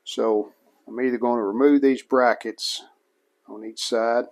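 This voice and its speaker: A man giving casual hands-on narration of a repair as a hobbyist restorer, plain-spoken and unhurried, thinking aloud as he works. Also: mid-range voice, steady tone.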